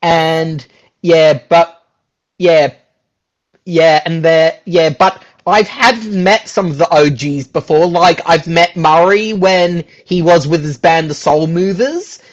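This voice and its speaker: A man's voice: on the high side, slow. A man chatting casually as an interview guest about his own experiences. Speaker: guest, musical theatre performer. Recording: dull, lo-fi sound.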